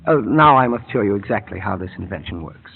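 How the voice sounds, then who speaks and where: A man's voice: fairly low pitch, expressive, quick pace, vocal fry, slight background noise. An actor playing a cold, mocking male mad scientist who chuckles as he threatens, in 1940s radio drama style, on a tinny old broadcast. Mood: gloating. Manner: suave, chuckling menace.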